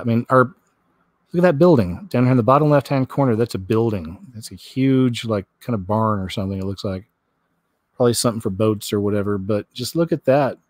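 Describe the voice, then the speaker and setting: A man's voice: lowish voice, darkish tone, no room sound. A man hosting a vlog, commenting on clips and news in a casual, folksy, rambling way. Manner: folksy, rambling, repeats himself.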